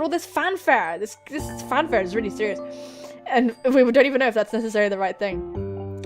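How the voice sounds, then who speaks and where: An excitable, giggly young woman reading a visual novel aloud and adding dramatic, sweary commentary as a gaming host. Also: medium pitch. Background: music.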